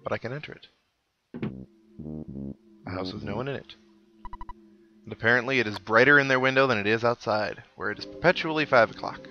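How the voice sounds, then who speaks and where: A man giving casual, rambling game commentary in a low-key, dryly wry way, sounding a little unwell. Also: a little fast, long pauses, bright, forceful tone. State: slightly unwell, out of it. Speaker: gaming host, let's-player. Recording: dull lo-fi mic, game music underneath. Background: video game music.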